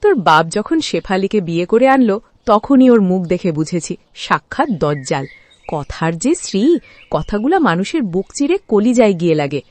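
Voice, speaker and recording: A woman's voice: medium pitch, sing-song, moderate pace, darkish tone, no echo, close to an everyday voice. A woman voicing a sympathetic, gossipy middle-aged village aunt in a cartoon, chatty and outspoken. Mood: pitying, gossipy. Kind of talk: Bengali cartoon dialogue.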